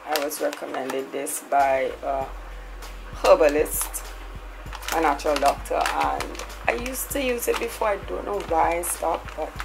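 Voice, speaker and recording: A woman's voice: deep voice, measured pace, gravelly, noisy background. A woman talking casually through a grocery haul, chatty, often ending lines with a questioning "right?". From Trinidad. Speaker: lifestyle vlogger.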